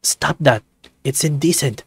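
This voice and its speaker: A man's voice: on the high side, unhurried, gravelly, husky, dry recording, pitched above the performer's own voice, heavily acted. Man doing a strained heroic tiger warrior. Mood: distressed. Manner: formal, strained, lofty heroic speech.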